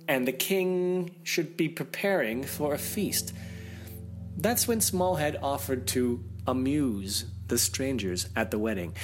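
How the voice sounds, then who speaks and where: Animated, slow-paced man telling fairy tales. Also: a little high. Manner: dramatic pauses, playful asides. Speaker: story reader. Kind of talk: animated fairy-tale storytelling for children. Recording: music behind the voice.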